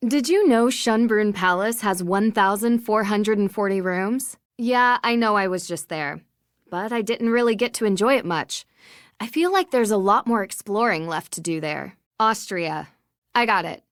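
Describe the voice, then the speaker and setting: A woman's voice: medium pitch, animated, moderate pace, no echo, clean studio sound, natural voice. A young woman voicing a level-headed, polite, earnest female detective in a video game. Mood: curious, musing.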